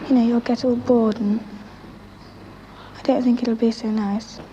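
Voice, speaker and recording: Mellow, flat-toned schoolgirl. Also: mid-range voice, a little slow, highs cut off. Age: child or teenager. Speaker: young interviewee. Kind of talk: casual interview answer. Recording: faintly noisy 1960s archival interview.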